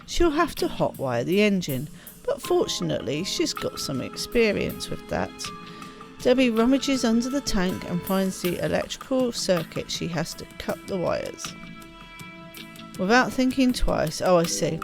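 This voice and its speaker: A woman's voice: medium pitch, big pitch swings, a little slow, gravelly. A woman reading a game's story text aloud expressively, with casual spontaneous asides as she plays. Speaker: let's play host reading game text aloud. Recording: music behind the voice. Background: game music.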